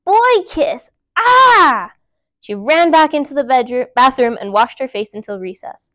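A woman in her thirties reading a children's picture book aloud in an expressive, emphatic storytime style. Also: a little high, dry recording, clean studio sound. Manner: animated, emphatic, deliberate storytelling. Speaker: library storytime reader. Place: indoor room, seated before a dark wall. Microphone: clip-on lapel mic.

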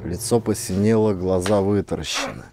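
A man's voice: low voice, moderate pace, music in the background. A man reading fiction as an audiobook narrator, in a dramatic scripted style.

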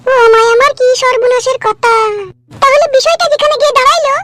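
A woman voicing a dramatic, scheming village wife in a comedy cartoon, with a pitched-up voice. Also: fast talker, fairly bright tone. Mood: probing, shocked.

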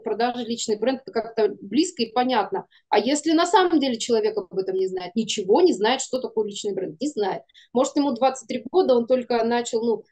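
High-voiced, mellow woman chatting casually. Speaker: guest, animation studio producer. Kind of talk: casual conversation. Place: indoor room, video call. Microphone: video call.

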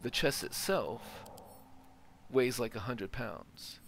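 Man explaining a game, unhurried. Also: mid-range voice, vocal fry, a bit bright. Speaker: let's player. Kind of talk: casual game walkthrough commentary. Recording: game ambience noise behind voice. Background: video game ambience.

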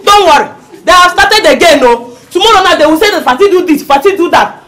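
A Nigerian woman acting in a comedy skit, loud, brash and boastful, with music playing behind her. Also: extremely high pitch, expressive, quick pace, echoey room. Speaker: comic actress in a skit.

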